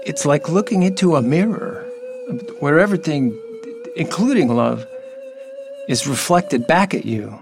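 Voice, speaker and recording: A man in an audio drama playing a gentle, lonely half-human dolphin creature, telling things in a halting, dreamy, earnest way. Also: a little high, lively intonation, creaky. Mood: dreamy, wondrous. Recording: music behind the voice.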